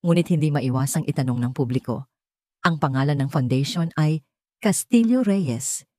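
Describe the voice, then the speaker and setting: Audiobook role: venomous Filipino gossip reporter, read by a woman, polite surface, insinuating, dark-toned, dry. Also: sits low, animated, moderate pace, no room sound. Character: sly, venomous tabloid reporter with sweet smile. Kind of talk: audiobook character dialogue.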